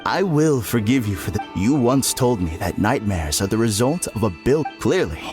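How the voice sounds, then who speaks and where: A man's voice: medium pitch, sing-song, normal speed, a little mellow, close to an everyday voice. An actor playing a deluded, smugly serene man in a video game, speaking in a theatrical, condescending way. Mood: dismissive. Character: deluded former patient, male, smugly serene, claiming perfected happiness. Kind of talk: video game villain acting. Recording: music playing behind the voice.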